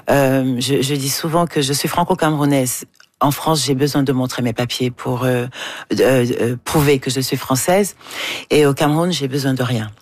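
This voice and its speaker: Femme, autrice interviewée, parole pensive et un peu hachée, prise de son sèche. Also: female voice, a bass voice, darkish tone, no room sound. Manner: thoughtful, frequent hesitations. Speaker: guest author. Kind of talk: interview answers.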